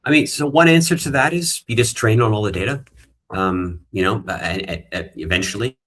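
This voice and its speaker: A man's voice: fairly low pitch, a little fast, fairly bright tone. A man, a tech founder, earnestly explaining his ideas as a guest in an online panel talk. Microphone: video call.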